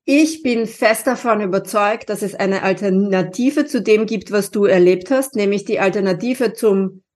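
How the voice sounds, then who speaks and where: A woman's voice: mid-range voice, moderate pace, darkish tone. Austrian-accented woman, podcast host, emphatic, encouraging, keeps asking "ja?". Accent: Austrian German. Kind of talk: conversational interview.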